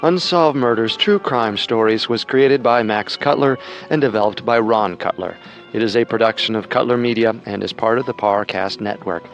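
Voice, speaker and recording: A man narrating a scripted true crime podcast in a measured, dramatic way. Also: on the low side, faint music behind.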